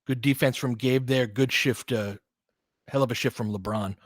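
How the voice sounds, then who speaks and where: Male basketball commentator, mid-pitched, informal and slangy, breaking down tactics as play goes on. Dry, close recording. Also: steady tone, moderate pace, no room sound. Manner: conversational, slangy, explains tactics in detail. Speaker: play-by-play announcer and analyst.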